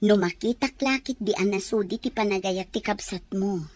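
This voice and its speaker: A woman's voice: medium pitch, normal speed, darkish tone, natural voice. A woman playing a doubtful young woman who questions her friend in a musing way in an audio drama.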